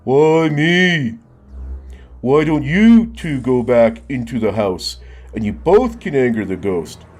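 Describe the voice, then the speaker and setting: A man voicing Marcel, a young boy in a children's storybook, speaking slowly and in a questioning, drawn-out way. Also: mid-range voice, animated, darkish tone, soft background music, pitched above the performer's own voice. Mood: puzzled. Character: young boy Marcel, curious then reluctant.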